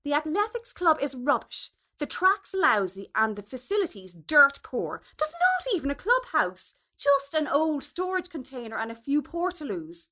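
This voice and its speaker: A woman plays a socially ambitious mother in a storybook reading. The voice is high and swoops dramatically, affected and gushing, with a snobbish edge. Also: wildly swooping pitch, moderate pace, hardly any pauses, sharp tone, exaggerated voice. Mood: dismissive. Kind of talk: storybook dialogue.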